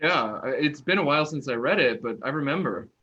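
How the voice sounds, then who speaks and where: Casting: male actor as chatty, tired young man for a stage drama reading; highish voice, very lively pitch, wry and halting. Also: breathy edge, exaggerated voice. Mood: teasing, friendly. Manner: halting, animated, wry talk. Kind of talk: naturalistic acting in an online staged play reading. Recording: dull, lo-fi online recording.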